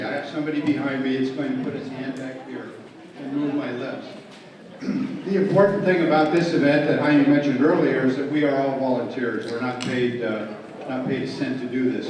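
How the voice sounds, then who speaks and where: Man speaking in a very echoey hall. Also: mid-range voice. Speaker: volunteer organizer. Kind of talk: informal public remarks. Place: large echoing event hall with audience. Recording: very echoey, distant, noisy hall.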